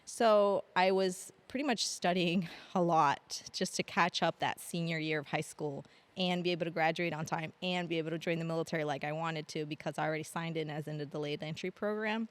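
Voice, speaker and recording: Low-voiced chatty woman guest. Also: fairly even intonation, a little fast, hardly any pauses. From grew up in Argentina. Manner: chatty, laughs often, many ums. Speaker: podcast guest, Air National Guard staff sergeant. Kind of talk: casual interview storytelling.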